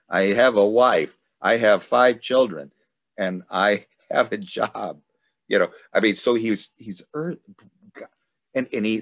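A middle-aged man hosting an informal online study group, talking in a rambling, halting way over a video call. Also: sits low. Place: indoors at home, video call. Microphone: video call microphone.

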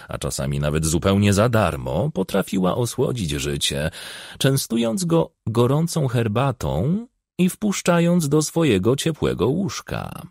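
A man's voice: on the low side, vocal fry, a little mellow, slightly rough, dry recording, clean studio sound. A man reading a novel aloud as an audiobook narrator, telling the story expressively.

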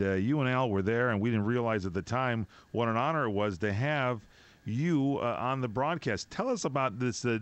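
A man in his forties hosting a talk radio show from a small studio, asking long, effusive questions. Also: medium pitch. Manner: enthusiastic, effusive, rambling questions. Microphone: studio mic with headphones.

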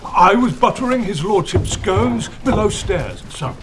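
Stiff older butler: high, lilting, deferential delivery for a whodunnit spoof. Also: male voice, expressive, measured pace, noisy background. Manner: formal, theatrical.

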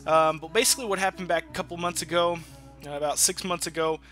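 A man with a fairly high, bright voice chats through a let's-play. He rambles informally and swears freely. Soft game music plays behind him. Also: fairly even intonation, fast talker. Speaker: gaming channel host. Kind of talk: casual let's-play commentary.

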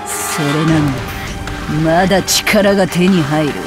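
An actress voicing a brash, bitter rocker witch in a video game, with sardonic, rough-spoken, taunting delivery. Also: low voice, unhurried. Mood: weary, bitter. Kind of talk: Japanese video game voice acting. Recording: loud music under the voice. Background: loud music.

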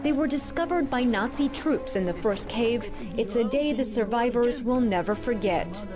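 A woman in her thirties reporting the news in a measured, scripted delivery with a handheld mic. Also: a little high, expressive, over loud music. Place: city street outdoors.

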